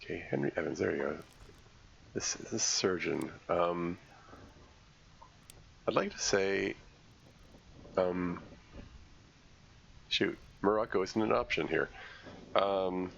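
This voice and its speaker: A man streaming a game, congested from a cold, commenting live and thinking aloud in a halting way as he works through puzzles. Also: deep voice, creaky, a bit bright, muffled recording, slight background noise.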